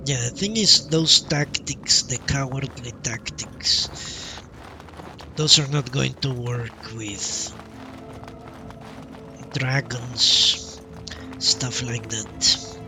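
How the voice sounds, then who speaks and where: A man giving casual live commentary on a game he plays, halting and muttering to himself in a self-deprecating way. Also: medium pitch, over music. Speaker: game streamer.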